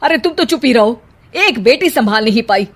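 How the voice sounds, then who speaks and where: A woman's voice: fairly high pitch, animated, moderate pace. Bitter middle-aged father, scolding, storybook read by a woman.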